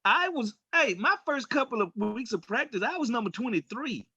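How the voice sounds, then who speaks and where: High-pitched, bright-voiced man telling sports stories. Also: moderate pace, breathy edge, no echo, highs cut off. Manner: animated storyteller, acts out quoted dialogue, emphatic. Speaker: sports talk show co-host, former pro football player. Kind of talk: casual sports talk and storytelling.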